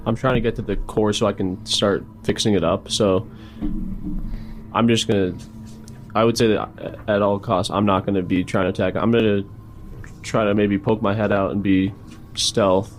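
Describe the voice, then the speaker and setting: A man's voice: on the low side, fairly even intonation, normal speed, a little hiss or hum. A man talking casually as a player at a tabletop role-playing game.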